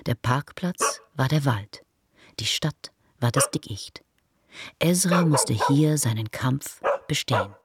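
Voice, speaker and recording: Very deep, husky woman narrator. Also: animated, slow pace. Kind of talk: literary radio play narration.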